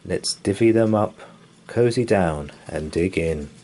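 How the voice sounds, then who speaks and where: A man's voice: low-pitched, unhurried, noisy background. A man voicing a friendly badger who grins and offers to share treats, in a children's storybook reading. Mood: cheerful. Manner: cheerful, chummy. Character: friendly sharing badger, grinning.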